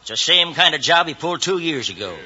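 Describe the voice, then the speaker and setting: An actor playing a blunt, accusing cattle hand with an American accent, insistent in 1950s Western radio style. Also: male voice, on the high side, very animated, fast talker, bright, forceful tone, heavily acted. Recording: muffled old radio recording.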